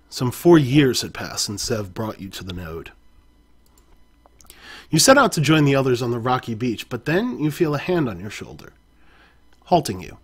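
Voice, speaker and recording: Male storyteller with a husky, mid-range voice; reads an interactive adventure aloud and fixes his own slips. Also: mid-range voice. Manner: second-person narration, occasional self-corrections.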